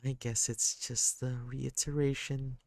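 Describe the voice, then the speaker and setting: A sad, quick-tempered kid, as a man puts the voice on for a storybook. The voice sits well above a grown man's and comes out strained and close to tears. It is slow and dry. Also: medium pitch, level tone, gravelly, darkish tone, dry recording, pitched above the performer's own voice. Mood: bitter. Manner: raised, strained and tearful.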